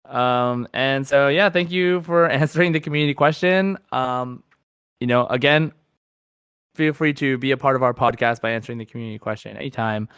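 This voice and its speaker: A man's voice: medium pitch, moderate pace, fairly bright tone, clean tone, no echo, studio quality. A man hosting a podcast, chatting casually and reading out listener comments in an easygoing way. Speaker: podcast host.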